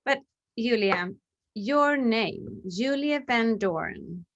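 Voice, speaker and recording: A woman's voice: a little high, animated, takes it slowly, muffled recording. A woman from the publishing side interviewing an author in casual chat, curious and encouraging, laughing along.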